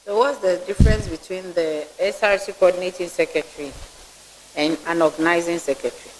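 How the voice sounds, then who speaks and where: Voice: medium pitch, fairly even intonation, fairly quick, a little hiss or hum, low-quality recording. A vetting panelist, asking blunt, probing questions and giving critical remarks. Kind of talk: interview questioning.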